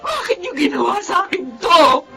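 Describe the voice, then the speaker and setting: A woman's voice: very high voice, very animated, moderate pace, vocal fry, music in the background, heavily acted. An actress playing a strict, anguished middle-aged mother in a Filipino radio drama, emotional and scolding. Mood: furious, hurt. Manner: emotional, scolding, wailing delivery.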